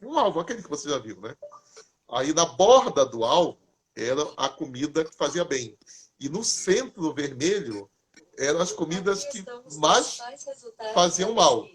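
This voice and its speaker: A man hosting a casual live talk, chatty and lively, asking questions and answering them himself. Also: on the high side, measured pace. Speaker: host. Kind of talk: casual live talk giving diet tips.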